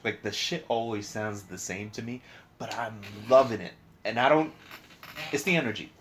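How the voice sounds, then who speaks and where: Low-voiced man in casual podcast chat. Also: moderate pace, fairly bright tone. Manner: profane, slangy, informal talk. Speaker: podcast host. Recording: clear background noise.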